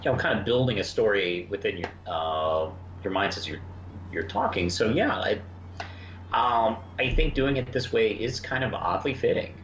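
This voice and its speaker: A man talking casually as a podcast co-host in a review discussion, halting and thoughtful. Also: fairly low pitch, expressive, fairly bright tone. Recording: dull lo-fi sound, roomy echo, noise.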